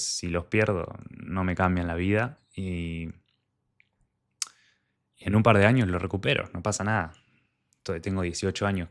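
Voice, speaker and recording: A young man with an Argentine Spanish accent and a low, deep voice tells his own story in a casual, unscripted way. He speaks candidly and conversationally, acting out the things he once told himself. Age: young adult. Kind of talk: personal storytelling monologue.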